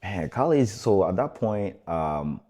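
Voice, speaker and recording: A man from Ghana, in his thirties, talking in a relaxed, reflective way in a casual interview, with easy laughs. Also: lowish voice, takes it slowly. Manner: relaxed, reflective, with easy laughs and "you know what I'm saying". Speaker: guest.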